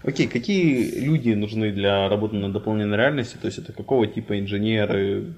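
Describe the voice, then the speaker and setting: Podcast host guy, quick talker, low voice, loose questions. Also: a little mellow. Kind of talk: casual podcast interview.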